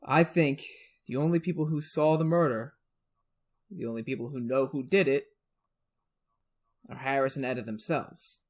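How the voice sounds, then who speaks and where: A man's voice: medium pitch, normal speed, long pauses, lightly acted. A man acting a world-weary, blunt defense lawyer in a retro radio drama, folksy and exasperated. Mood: subdued, evasive.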